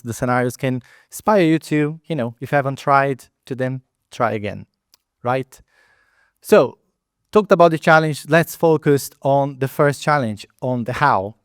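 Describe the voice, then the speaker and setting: A middle-aged man with a Brazilian Portuguese accent presents at a product meetup, talking loosely with frequent fillers and self-corrections. His voice is smooth and resonant at an ordinary pitch. It is picked up close and dry, with little room sound. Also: dry recording. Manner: conversational, many fillers and restarts, non-native phrasing. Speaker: product lead and Agile trainer giving a talk. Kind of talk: conference presentation. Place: event hall at a meetup.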